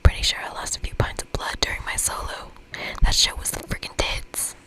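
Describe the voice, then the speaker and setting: A woman voicing a brash, excitable young female rocker from a game, in a breathy whisper with a dramatic, boastful manner. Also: brisk, natural voice. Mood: excited, boastful. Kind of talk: whispered ASMR reading of game dialogue.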